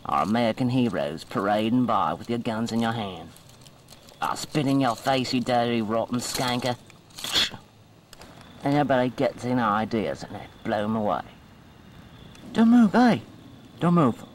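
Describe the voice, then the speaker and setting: A bitter young outcast, played by a man, sneers and challenges in a folksy, mid-pitched voice. It is amateur audio drama on faintly noisy old cassette. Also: big pitch swings, normal speed, pauses often, close to an everyday voice. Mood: hostile, mocking. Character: bitter, defiant homeless young outcast with a gun.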